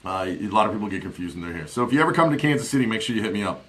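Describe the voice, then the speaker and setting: A man in his forties with a somewhat low voice talks to a live-stream audience in a loose, rambling way, leaning on fillers. He speaks into a studio mic on his desk in a small home office. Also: animated, rapid-fire, fairly bright tone. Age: 40s. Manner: rambling, chatty, many fillers. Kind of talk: casual live-stream monologue. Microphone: studio mic on desk with headphones.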